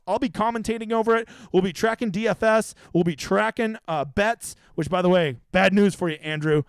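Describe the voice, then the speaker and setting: A man's voice: sits high, no echo. A man in his thirties hosting a livestream, chatting casually with viewers in a profane, brash, rambling way. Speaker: livestream host. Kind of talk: casual livestream chat answering viewer questions.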